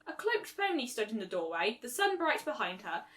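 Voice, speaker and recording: Female story reader, high and bright, animated delivery for fan fiction narration. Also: normal speed.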